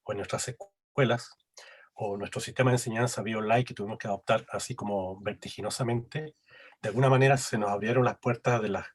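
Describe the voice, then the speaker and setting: A man's voice: lowish voice, fairly even intonation, a little fast, slightly rough. A man moderating a panel over a video call, speaking hesitantly with many fillers and tag questions.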